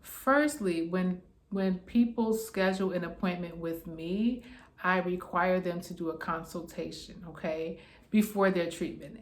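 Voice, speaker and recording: A woman's voice: fairly low pitch, echoey room. A woman in her forties with a New York accent, an acupuncturist explaining her sessions in a casual, reassuring way and often checking in with "okay?". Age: 40s. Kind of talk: casual explainer talking to camera.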